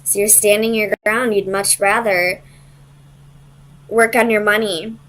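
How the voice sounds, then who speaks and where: A woman's voice: medium pitch, fairly even intonation, measured pace, fairly bright tone, rough recording. A woman giving a casual, conversational tarot reading, hesitant as she thinks aloud and searches for her words. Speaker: tarot reader.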